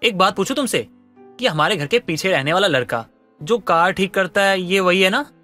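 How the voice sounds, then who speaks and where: A man playing an exasperated young husband who complains and pleads in an animated family story. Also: sits high, moderate pace, faint music behind. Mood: irritated. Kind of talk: animated family drama dialogue.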